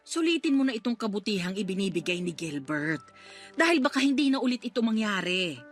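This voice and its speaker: A woman's voice: medium pitch, very animated, brisk, soft background music. A woman playing a pleading, practical and bossy loyal friend in a radio drama. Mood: urging, firm. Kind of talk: Tagalog radio drama acting.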